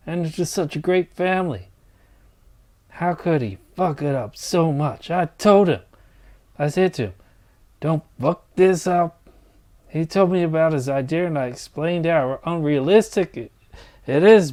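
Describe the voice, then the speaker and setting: A man's voice: a little high, a little mellow, lightly acted. Man acting a grumbling, resentful working man. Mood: agitated. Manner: blunt, exasperated, sweary. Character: grumbling, resentful, set-in-his-ways male mine engineer. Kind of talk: dramatized dialogue.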